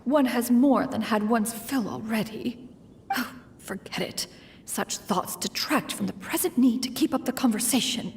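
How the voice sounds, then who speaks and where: A woman's voice: on the high side, a little slow, slight background noise. A woman voicing a formal, scheming immortal who speaks in archaic, self-referential phrasing, in a video game. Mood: muttering aside. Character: old adeptus woman, prim, scheming, refers to herself as "one". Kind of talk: game voice acting.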